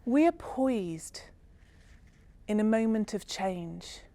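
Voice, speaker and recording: A woman storyteller giving a solemn, reflective spoken-word narration in a slow, ceremonial manner. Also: medium pitch, animated, warm tone, a little hiss or hum.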